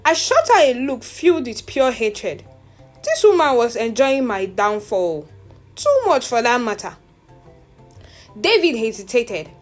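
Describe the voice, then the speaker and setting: A woman's voice: a little high, very animated, unhurried, soft background music. A woman narrating a scripted first-person story in a theatrical, suspenseful manner.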